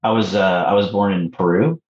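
A man from Canada, a podcast guest talking casually, relaxed and hesitant with many ums and restarts, recorded with a slightly dull sound in an echoey room. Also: deep voice, brisk. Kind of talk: casual interview talk.

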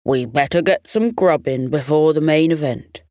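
A woman's voice: deep voice, moderate pace, mellow, dry recording, clean studio sound, natural voice. A woman voicing a kindly, easygoing old grandad animal in a children's story, with a folksy, genial manner.

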